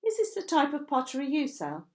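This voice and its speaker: Woman reading as a curious, insistent man, lifted pitch. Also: huge pitch swings, a little fast, darkish tone, heavily acted. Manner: questioning, persuasive. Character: adult man, curious, insistent art judge.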